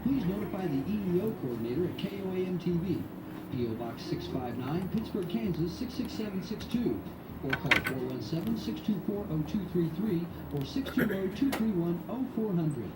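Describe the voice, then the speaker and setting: A station announcer giving an even, formal public notice read. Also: medium pitch, normal speed, recorded from a distance. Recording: echoey with loud noise.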